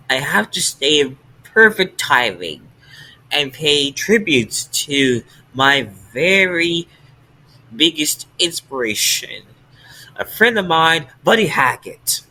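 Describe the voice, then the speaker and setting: A text-to-speech man plays an eager fan who longs to voice a beloved cartoon bird. The voice sounds bright and a little tense. Every phrase comes out stiff and machine-even. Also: a little high, slow pace, full character voice, low-quality recording. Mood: wistful. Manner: stiff synthetic delivery. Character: hopeful male fan who dreams of voicing a seagull.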